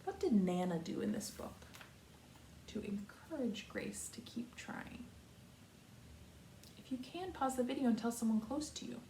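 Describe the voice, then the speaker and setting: An American woman, a kindergarten teacher, giving a read-aloud lesson to young children in simple, deliberate phrases with repetition. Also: on the high side, very animated, vocal fry, warm tone. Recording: roomy echo, distant mic, faint noise.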